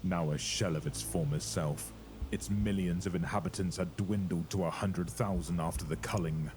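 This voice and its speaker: Low guy reading a dark war horror story, dramatic, noisy sound behind. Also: steady tone, normal speed. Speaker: audio drama narrator. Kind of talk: scripted dramatic horror narration.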